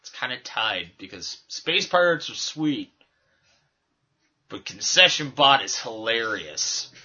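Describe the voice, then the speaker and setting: A man's voice: medium pitch, very animated, slow pace, sharp tone. A man co-hosting a casual chat, cracking jokes between his opinions. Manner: jokey, wisecracking. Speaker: podcast co-host. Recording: dull lo-fi recording.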